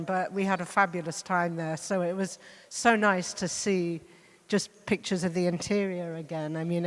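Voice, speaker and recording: A woman giving an informal, conversational presentation as a panel speaker, wandering off into asides. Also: lowish voice. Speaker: panel speaker, art biennale co-director. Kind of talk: informal spoken presentation to an audience. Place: large reverberant hall, on a stage. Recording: echoey hall with long reverb.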